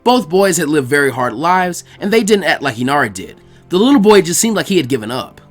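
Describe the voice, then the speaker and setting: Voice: mid-range voice, sing-song, brisk, soft background music. A narrator reading a fan fiction story aloud, acting out the dialogue. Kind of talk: scripted story narration with character voices.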